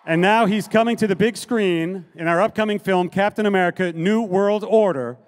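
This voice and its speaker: A man's voice: high-pitched, moderate pace. A middle-aged man introducing guests as a presenter on a big convention stage, speaking into a handheld microphone. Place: large convention hall stage. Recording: live stage PA with music.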